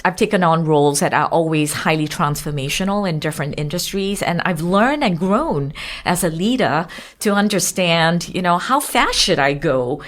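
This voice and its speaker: Middle-aged female executive from Singapore, podcast guest, slightly low voice, deliberate phrasing. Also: animated. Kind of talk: reflective interview conversation.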